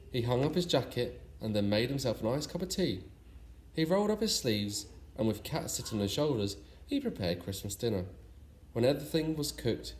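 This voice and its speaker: A noisy recording of a man reading a children's story aloud. His voice sits at a middling male pitch as he narrates. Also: darkish tone. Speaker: story reader.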